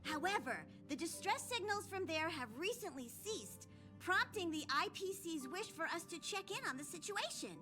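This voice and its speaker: A woman's voice: extremely high pitch, sing-song, moderate pace, over music. A woman voicing a small, cute, earnest train conductor creature in a video game, formal and announcing. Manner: speaks of itself in third person, formal and announcing.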